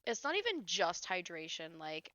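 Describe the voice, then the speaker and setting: A young woman with a hoarse voice, chatting casually with her live audience while she plays a game, often laughing and reading comments aloud. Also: fairly low pitch, wildly swooping pitch, moderate pace, bright, forceful tone, dry recording, highs cut off. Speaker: live streamer. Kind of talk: casual chat while gaming.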